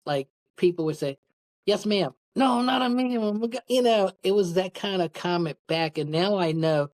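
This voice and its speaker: A woman's voice: mid-range voice, moderate pace, a little mellow. A middle-aged woman speaking as an interview guest, telling personal anecdotes in a candid, rambling, casual way. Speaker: podcast guest, public speaker.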